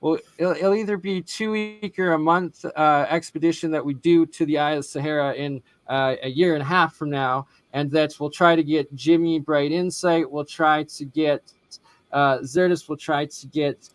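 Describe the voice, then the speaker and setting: Guy hosting a stream, kinda high voice, rambles and circles around, dry close sound. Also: fairly even intonation, normal speed, no room sound. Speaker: host. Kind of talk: livestream discussion. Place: lives in Calgary.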